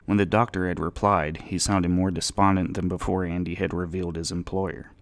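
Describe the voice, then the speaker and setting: A man's voice: low-pitched, level tone, brisk, dry recording. A man narrating fiction as a dramatic scripted audiobook reading.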